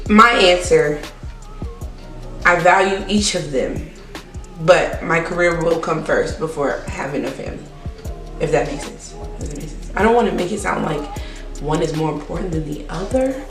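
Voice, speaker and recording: A nineteen-year-old woman, chatting casually and rambling through a Q&A vlog. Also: fairly low pitch. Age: teens. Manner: chatty, rambling, repeats herself. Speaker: vlogger. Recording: roomy, distant camera sound with faint music.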